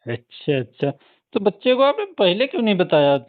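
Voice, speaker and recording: A man's voice: sits high, big pitch swings, moderate pace, no echo. Young man on a phone call. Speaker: private tutor on a phone call. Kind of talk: casual chat. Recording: dry, slightly tinny phone call recording.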